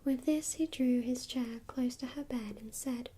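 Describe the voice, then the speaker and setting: A woman reading a storybook aloud in a soft, even whisper, unhurried throughout. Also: on the high side, breathy edge.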